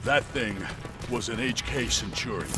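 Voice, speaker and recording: An actor playing an alarmed resistance fighter in a dramatic video game cutscene. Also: male voice, expressive, normal speed, close to an everyday voice. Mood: tense. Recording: very loud music and booms behind.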